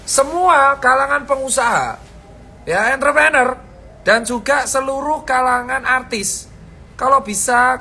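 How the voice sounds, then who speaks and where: A man with a lilt gives health advice in a high, ringing voice. His delivery is so blunt and fierce that it comes across as angry, and he keeps tossing slangy "boss" and "bro" at his listeners. A faint hiss sits under the voice. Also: pushed and bright. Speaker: vlogger giving health advice. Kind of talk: casual direct-to-camera talk.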